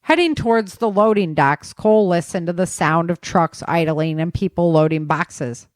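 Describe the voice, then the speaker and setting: A woman's voice: on the low side, moderate pace, dry recording, clean studio sound. A woman reading scripted fiction as the narrator of an audio story.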